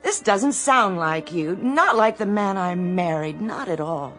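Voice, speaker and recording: A woman's voice: lowish voice, expressive, a little slow, a bit bright, faint music behind. An actress playing an anxious, cautious, exasperated shopkeeper's wife in a radio drama, pleading and dramatic in her delivery.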